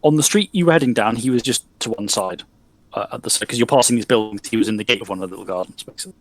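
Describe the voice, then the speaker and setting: A man's voice: lowish voice, racing, no room sound. A man running a tabletop role-playing game as game master, explaining the scene and calling for rolls. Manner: steady, explanatory, calls for rolls.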